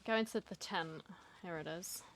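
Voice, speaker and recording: A woman's voice: lowish voice, normal speed, fairly bright tone, a little hiss or hum. A woman giving casual, informal commentary as she plays through a game, chatting and reacting aloud. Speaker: game streamer.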